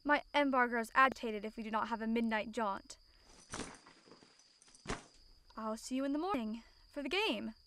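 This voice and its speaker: A woman's voice: a little high, brisk, pushed and bright, slight background noise. A woman playing a lonely, courteous young royal outsider in an amateur audio drama, speaking earnestly and formally. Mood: kindly.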